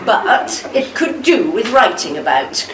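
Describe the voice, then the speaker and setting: Lady doing a slow calm old wife, voice rises and falls a lot, echoey faraway room. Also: mid-range voice, far from the mic, background noise. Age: older. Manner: calm, gently chiding. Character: patient elderly wife, gently bossy. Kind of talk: radio drama acting.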